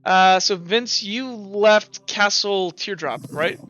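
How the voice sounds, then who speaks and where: Young male game master with a high, bright voice. Rambly and casual, dry close mic. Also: no echo, soft background music. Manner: chatty, stumbling, quick asides with frequent fillers. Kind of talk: casual tabletop game chat.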